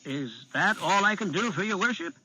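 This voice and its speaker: A man voicing Darrow, the High Froman, a gruff bearded dwarf leader who talks in a blunt, insistent way. He alternates between fawning courtesy and sharp urgent commands. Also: lively intonation, normal speed, background noise.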